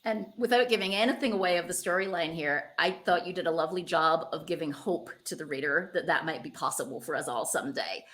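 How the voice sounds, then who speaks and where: A woman's voice: fairly low pitch, quick pace, hardly any pauses, a bit bright. A woman hosting a conversational interview in an effusive, encouraging way. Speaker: interviewer, host.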